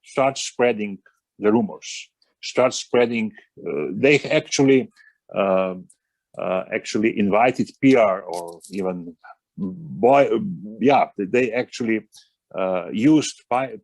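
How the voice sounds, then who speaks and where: A man with a Slovenian accent on a video call, speaking haltingly with many pauses as a roundtable panelist. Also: medium pitch, dry recording. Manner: halting, many fillers and restarts. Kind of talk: online roundtable discussion.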